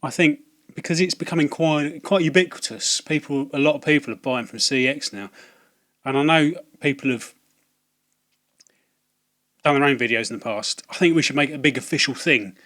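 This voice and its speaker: A slightly hoarse man, talking casually to camera about video game purchases, hesitant with many ums and restarts. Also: a little high, moderate pace, a bit bright. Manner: hesitant, lots of ums and restarts, wry asides. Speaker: video game collecting vlogger. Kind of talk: casual rambling vlog to camera.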